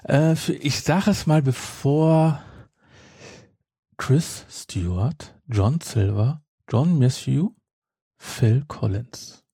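A man hosting a podcast in casual chat, with a halting, self-interrupting way of talking. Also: mid-range voice, lively intonation, vocal fry, warm tone, slightly rough, no room sound, clean studio sound. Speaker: podcast host. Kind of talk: casual chat, retelling a story.

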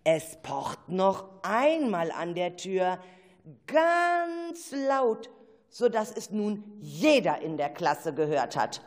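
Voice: mid-range voice, very animated, takes it slowly, long reverb tail. A narrator telling a children's radio play with a dramatic storytelling delivery.

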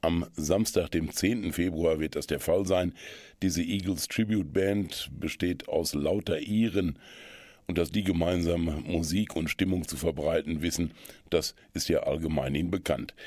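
A man's voice: extremely low pitch, a little mellow, no room sound. A man hosting a radio magazine on music and local events, talking in a chatty, explanatory way. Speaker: radio host.

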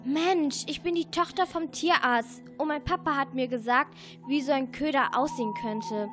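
A woman's voice: high voice, normal speed, over music, close to an everyday voice. A young female performer playing an indignant, earnest girl defending herself in a children's radio play. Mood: indignant, defensive.

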